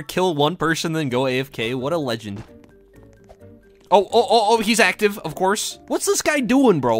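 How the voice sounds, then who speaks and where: A young man with a fairly high voice that swings widely in pitch, recording gameplay commentary while a little under the weather. He talks in casual slang with playful exclamations, over background music. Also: fairly bright tone. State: slightly sick, voice a little deeper than usual. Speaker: gaming video creator.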